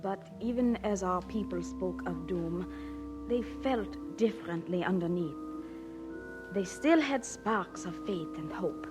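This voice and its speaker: A woman's voice: lowish voice, expressive, takes it slowly. A woman narrating a radio drama in a dramatic, scripted storytelling style. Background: instrumental music.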